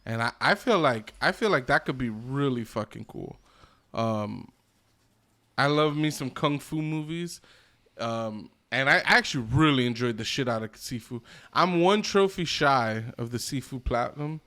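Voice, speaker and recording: A man with a medium-pitched voice talks as a podcast co-host. He is casual and opinionated, and he swears freely as he chats. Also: lively intonation, normal speed, bright, forceful tone. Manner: opinionated, profane. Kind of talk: casual chat about games and films.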